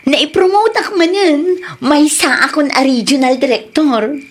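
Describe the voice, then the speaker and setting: An actress with a Filipino accent playing a loving, supportive career wife in an Ilocano radio drama. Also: female voice, sits high, measured pace, faint music behind. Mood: delighted, affectionate.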